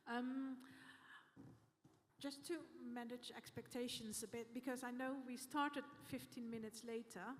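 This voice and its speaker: A woman moderating a conference panel, friendly and hesitant, with many ums. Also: fairly high pitch. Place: conference hall. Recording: long hall reverb, faint room noise.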